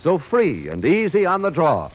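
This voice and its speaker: Vintage male ad announcer, rhythmic and very lively, with dull old-radio sound. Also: fairly high pitch, normal speed, faint music behind. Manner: punchy, rhythmic sales delivery. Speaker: commercial announcer. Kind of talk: 1940s radio cigarette advertisement. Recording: muffled 1940s radio broadcast.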